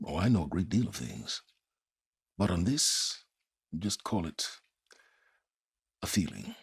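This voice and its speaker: Casting: calculating older male scholar for a game; very raspy, low, pompous, condescending, expressive delivery. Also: no room sound, clean studio sound, full character voice. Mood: condescending, dry. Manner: pompous, condescending, deliberate, formal wording. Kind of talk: video game dramatic acting.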